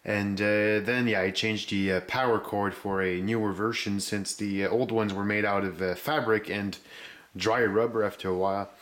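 A man with a fairly low voice gives a casual walkthrough of his restoration work. He searches for words as he goes, in a halting way. He is picked up indoors by a handheld camera's microphone. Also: steady tone, fairly bright tone. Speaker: hobbyist restorer presenting a rebuilt radio. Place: indoors, room with concrete floor.

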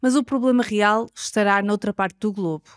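A woman with a European Portuguese accent reporting a podcast investigation in measured, scripted narration. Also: medium pitch, steady tone, no room sound, clean studio sound.

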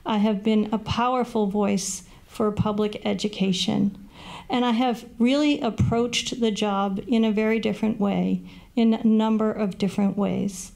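Gravelly-voiced woman giving a forum statement. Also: medium pitch, fairly even intonation, darkish tone. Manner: earnest, measured, with a brief laugh at running out of time. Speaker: political candidate, incumbent councilor. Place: small meeting room, seated at a table. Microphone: table microphone on a stand.

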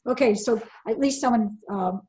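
A woman's voice: fairly high pitch, moderate pace, dark tone. An older woman presenting a sailing webinar over a video call, emphatic and quizzing her audience, often repeating key words for stress.

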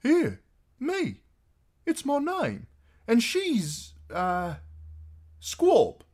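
A man voicing a timid, eager young boy in a storybook, speaking haltingly. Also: very high voice, huge pitch swings, darkish tone, pitched above the performer's own voice, heavily acted. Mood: nervous.

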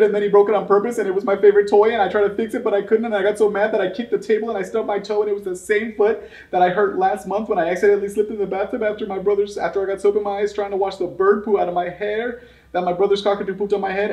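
Man playing a whiny, high-pitched upset little boy. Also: steady tone, very fast, darkish tone, close to an everyday voice. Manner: breathless run-on complaining. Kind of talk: animated picture book read-aloud. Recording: echoey room.

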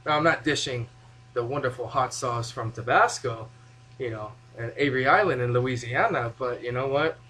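A man's voice: medium pitch, fairly bright tone. A young man casually showing how he cooks in a small home kitchen, goofy and rambling as he talks to the camera from a few feet away. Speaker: home cooking vlogger. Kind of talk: casual cooking demo, joking asides. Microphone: camera mic from a few feet away.

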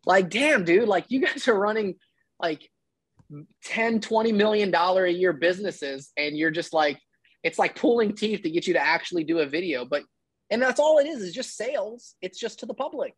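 A man's voice: sits high, expressive, normal speed, husky, no echo. A man in his thirties giving casual, emphatic and blunt advice as a coach on a one-on-one video call. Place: indoor room, video call.

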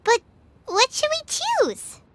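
A woman voicing a tiny, excitable fairy companion girl in a fantasy game, with a squeaky, childlike, perky delivery. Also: slow, background noise, heavily acted. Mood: excited. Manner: perky, cheeky, speaks of herself in third person. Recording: loud music under the voice.

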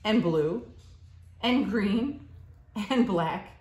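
A woman's voice: mid-range voice, animated, very slow, darkish tone. A woman in her thirties reads a picture book aloud expressively to a child, in simple teacherly phrases, recorded in a living room a short way from the camera. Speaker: school staff member reading aloud to a child. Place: living room, seated on a leather couch. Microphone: camera a short distance away. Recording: roomy, echoey home recording.

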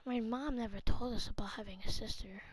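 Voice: medium pitch, steady tone, a little fast, background noise. A player chatting casually in improvised roleplay during a video game, over a home gaming voice chat.

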